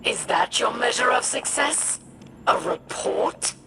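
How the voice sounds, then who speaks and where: A woman's voice: fairly high pitch, expressive, slow, very noisy. A woman playing a haughty older female alien warlord in a video game, imperious and disdainful, with formal diction. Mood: scornful. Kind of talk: dramatic video game dialogue. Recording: music behind the voice. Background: background music.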